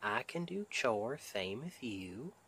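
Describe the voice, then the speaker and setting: A man's voice: lowish voice, very animated, fairly bright tone, slight background noise, full character voice. A man playing a sweet, protective Southern husband with a Southern US accent, speaking in a folksy, soothing way in an intimate ASMR roleplay. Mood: concerned, gentle. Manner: folksy, tender, unhurried, soothing.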